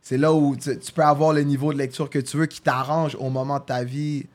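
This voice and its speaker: A man's voice: mid-range voice, flat delivery, fairly quick. A man from Congo, explaining his view in a casual panel discussion, professorial, dropping in English slang. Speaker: podcast panellist.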